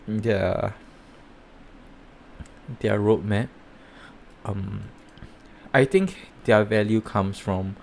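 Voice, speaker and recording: A man with a Singaporean accent giving rambling, halting commentary while live-streaming reviews of projects. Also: on the low side, a little hiss or hum. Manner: rambling, halting, many fillers and restarts.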